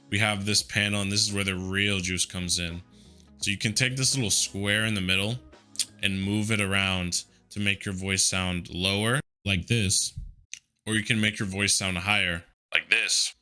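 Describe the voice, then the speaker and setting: A man with a deep voice walking through software settings in a relaxed, conversational way, with a headset mic. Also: smooth. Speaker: tutorial host. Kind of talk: casual screen-recorded software tutorial.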